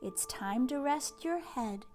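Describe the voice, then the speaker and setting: A woman hosting a read-aloud for young children, speaking expressively and gently, with hushes and words that drop toward a whisper. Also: sits high, animated, slow, soft background music. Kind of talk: children's storybook read-aloud and chat to families.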